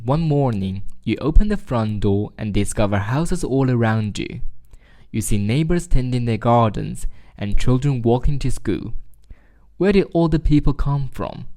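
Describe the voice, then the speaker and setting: A man's voice: mid-range voice, lively intonation, measured pace, warm tone. A man reading a short practice text aloud from a script.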